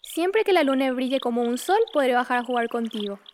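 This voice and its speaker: Woman doing a little moon girl, high pitched, calm and kind, not scared, radio play, noise behind. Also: fairly quick. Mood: bright, reassuring. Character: gentle, fearless young girl from the moon. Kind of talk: audio drama acting.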